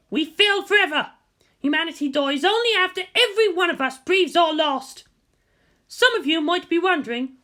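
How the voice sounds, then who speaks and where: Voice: very high-pitched, pushed and bright, voice pushed up, heavily acted. A narrator voicing a fierce teenage girl soldier, giving an impassioned, commanding speech as part of an audiobook story. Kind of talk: shouted battlefield speech in audiobook reading.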